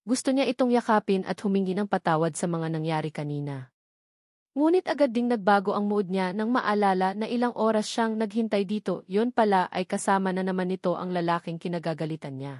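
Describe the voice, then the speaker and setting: A woman's voice: medium pitch, darkish tone, no echo, studio quality. A woman narrating a romance novel from a script, as a storyteller.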